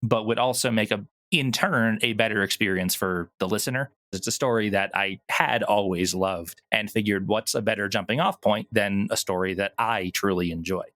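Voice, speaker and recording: A man's voice: lowish voice, normal speed, few pauses, sharp tone. A man talking as an interview guest in a conversational, explanatory way about his work. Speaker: audio drama producer, interview guest.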